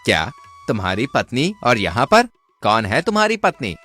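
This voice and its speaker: A man playing a scheming village swindler in a Hindi folk tale, expressive and theatrical. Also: medium pitch, moderate pace, faint music behind, exaggerated voice. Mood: surprised, eager.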